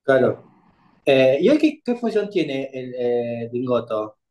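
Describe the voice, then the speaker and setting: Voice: mid-range voice, very animated, slow, warm tone, rough recording. A host opening an episode and asking a guest casual, curious questions. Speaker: podcast host.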